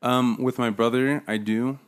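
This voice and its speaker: A man who grew up overseas, a podcast guest telling his own story in a casual, somewhat halting way. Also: mid-range voice, steady tone, smooth. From grew up overseas, in Russia, China, Taiwan and Uzbekistan.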